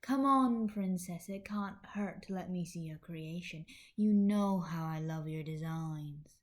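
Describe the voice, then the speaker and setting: A woman's voice: fairly low pitch, measured pace, voice pushed down, lightly acted. Woman voicing a smug, teasing young cat hero. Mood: coaxing. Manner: teasing, playful, husky purr.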